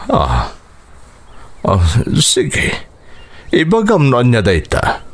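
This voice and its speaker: A Filipino man with an Ilocano accent playing an anguished, protective middle-aged father in a radio drama, emotive and halting. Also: mid-range voice, wildly swooping pitch, fairly bright tone, heavily acted. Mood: shocked.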